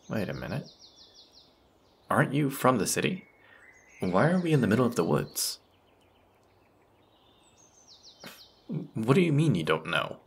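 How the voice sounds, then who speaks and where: Guy doing a grumpy snobby teapot spirit for audio roleplay, slow talking, lots of vocal fry, sarcastic and put-upon. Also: medium pitch, exaggerated voice. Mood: bossy, exasperated. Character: grumpy, haughty teapot spirit over a hundred years old. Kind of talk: scripted audio roleplay addressed to the listener.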